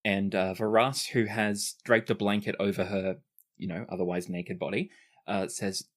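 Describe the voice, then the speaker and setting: A man narrating and voicing characters as game master of a tabletop role-playing game, relaxed and using fillers. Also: on the low side, moderate pace.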